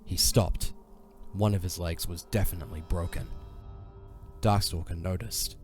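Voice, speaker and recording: A man narrating a fantasy audio drama in a solemn, measured, dramatic storytelling style. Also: sits low, fairly even intonation, a little mellow, soft background music.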